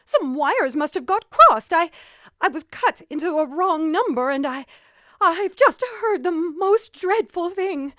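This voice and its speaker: An actress playing a nervous, demanding invalid wife in a suspense radio drama, with an imperious, agitated manner. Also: female voice, very high voice, animated, measured pace, bright, forceful tone, no echo, studio quality, exaggerated voice. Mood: horrified, frantic.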